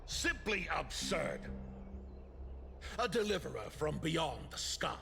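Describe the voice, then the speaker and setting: An actor playing a defiant, indignant rebel leader in a game, with emphatic, accusing speech. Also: male voice, a little high, very animated, slow pace, creaky, heavily acted. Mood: bitter, indignant. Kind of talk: theatrical scripted game voice acting. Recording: music behind.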